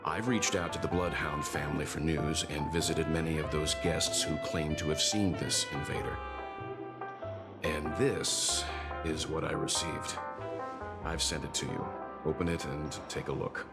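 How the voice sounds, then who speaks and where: A male actor playing a composed, analytical investigator in a sci-fi game, speaking in a deliberate, formal, articulate way. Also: a bass voice, steady tone. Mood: grave. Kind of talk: dramatic game dialogue, measured exposition. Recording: loud music behind. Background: game music.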